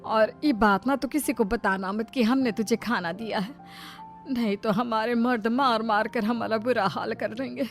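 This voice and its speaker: A woman's voice: a little high, fairly even intonation, normal speed, soft background music, lightly acted. A woman voicing an older, timid but caring mother-in-law who cautions in a moral story. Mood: secretive, anxious. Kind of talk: Hindi moral story character voice.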